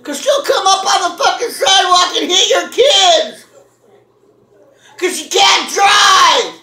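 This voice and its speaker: A woman ranting loudly to viewers in a dramatic, exasperated, foul-mouthed way, telling a story with heavy emphasis. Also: very high voice, animated, slow pace. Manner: shouting, emphatic. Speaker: video host ranting to viewers. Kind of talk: loud comic rant, storytelling to camera. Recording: echoey room sound.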